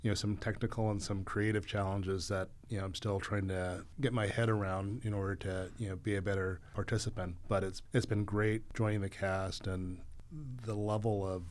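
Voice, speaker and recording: A man's voice: on the low side, little pitch movement, moderate pace, a little mellow. A man speaking as an actor guest, answering in a casual, polite, reflective way.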